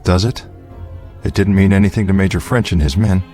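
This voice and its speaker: Man acting as a conscientious survivor, earnest, grave, low voice, radio drama, music under it. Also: fairly even intonation, a little fast, warm tone, lightly acted. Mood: weary. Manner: earnest, pleading, then grave and weary. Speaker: keeper of the cave's secret. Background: music score.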